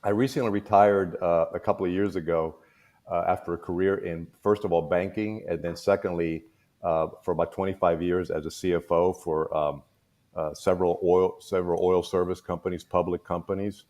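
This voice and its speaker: A man in his sixties, originally from Cuba, speaks in a very low voice. He introduces himself as a school board candidate at an easy pace, with frequent pauses and fillers. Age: 60s. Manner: relaxed, halting with many fillers. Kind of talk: casual panel chat.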